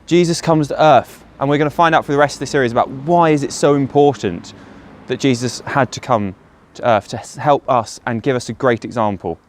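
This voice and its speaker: A man's voice: mid-range voice, a little fast. A man giving a short devotional talk as a church speaker, explaining earnestly and posing rhetorical questions. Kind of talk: short sermon talk to camera or listeners.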